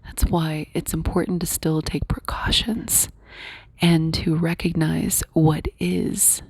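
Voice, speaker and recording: A young woman hosts her talk almost entirely in a hushed whisper, close to the mic. Her voice sits low and gravelly with a breathy edge. She speaks slowly, and the sound is dry with no room around it. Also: level tone, no echo. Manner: soft whisper throughout. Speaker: podcast host.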